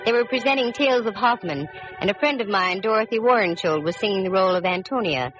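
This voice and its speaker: A woman's voice: medium pitch, fast talker, close to an everyday voice. An actress playing a witty, self-assured woman private detective in a wry, sardonic 1940s radio drama style. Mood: wry narrating. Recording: dull vintage radio recording with music.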